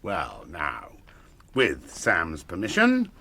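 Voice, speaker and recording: A man playing a weathered ranger in a radio drama, measured, wry and quietly commanding. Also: low voice, huge pitch swings, gravelly, heavily acted. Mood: calm, resolute. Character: weathered, wry ranger of hidden noble birth. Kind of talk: classic radio drama acting.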